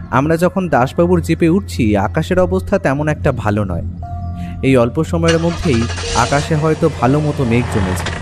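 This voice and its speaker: High-pitched, lively male story narrator. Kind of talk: scripted first-person story narration. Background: music.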